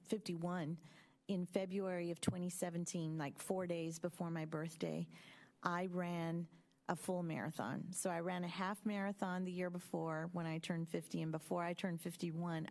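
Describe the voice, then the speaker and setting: A woman in her fifties speaking at a school board meeting, telling a personal story in a measured, reflective way with frequent ums. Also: on the low side, a little mellow. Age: 50s.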